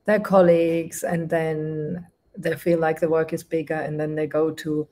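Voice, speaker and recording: A woman's voice: low-pitched, level tone, measured pace, warm tone. A woman with a German accent, hosting a livestreamed discussion in a thoughtful, appreciative manner with frequent restarts.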